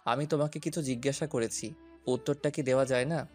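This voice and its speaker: A man's voice: medium pitch, fairly even intonation, fairly quick, soft background music, natural voice. An actor playing a domineering young policeman suitor in a romantic audio story, commanding and taunting. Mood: demanding.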